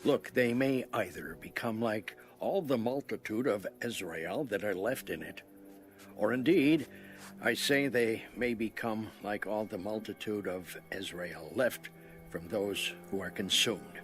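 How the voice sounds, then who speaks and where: A cautious royal servant played by a man speaks fairly low, hesitant and deliberate as he advises his king. Light music sits under the scripture drama. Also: lightly acted. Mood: cautious, pleading. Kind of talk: audio Bible drama acting.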